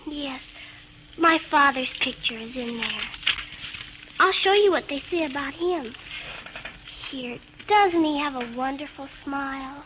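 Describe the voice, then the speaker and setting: A woman's voice: high voice, unhurried, long pauses. An actress playing a defensive, sulky young girl in a vintage radio drama. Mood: proud. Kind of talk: 1940s-50s radio acting. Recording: old noisy radio recording.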